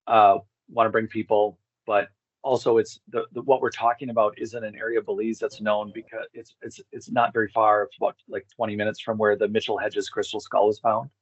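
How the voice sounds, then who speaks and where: A man's voice: lowish voice, little pitch movement, a little fast, breathy edge, dry recording. A man speaking as a guest on a video call, explaining things in a casual, conversational way. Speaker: podcast guest, expedition organizer. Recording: slightly dull lo-fi call audio.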